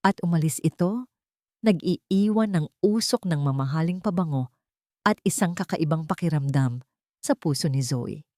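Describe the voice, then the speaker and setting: A woman's voice: sits low, moderate pace, darkish tone, no room sound, clean studio sound. A woman with a Filipino accent narrating a scripted romantic drama story.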